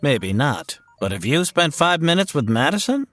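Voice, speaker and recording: A man's voice: on the high side, very animated, normal speed, a bit bright, no room sound, faint music behind. An actor playing a learned male scientist with a lecturing, matter-of-fact manner in a 1930s radio serial style. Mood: professorial.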